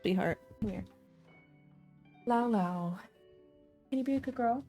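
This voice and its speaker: A woman's voice: on the high side, measured pace, warm tone. Woman livestreaming a game, playful and chatty. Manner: playful, thinking aloud. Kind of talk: casual live game commentary. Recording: faint game music behind the voice. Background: game soundtrack.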